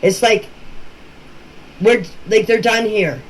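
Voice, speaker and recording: A woman's voice: mid-range voice, takes it slowly. An older woman talks informally and emphatically in a voice message, plain-spoken and often repeating herself. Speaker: relative speaking about a missing-children case. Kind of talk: informal personal voice-message talk. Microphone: phone voice message. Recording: noisy amateur voice-message recording.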